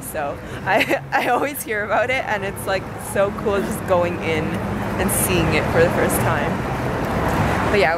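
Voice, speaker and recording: A young woman chats casually and excitably into a handheld camera as a travel vlogger, rambling and giggly. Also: mid-range voice. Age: young adult. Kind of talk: casual handheld vlog chat. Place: out in a city at night, on buses and streets. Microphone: handheld camera at arm's length. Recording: loud street and vehicle noise.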